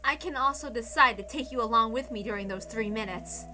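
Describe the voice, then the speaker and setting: A woman's voice: a little high, fairly quick, lightly acted. A woman voicing a wounded, tough teenage girl in a visual novel reading, slangy, bitter and emphatic. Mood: shocked defiance. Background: music.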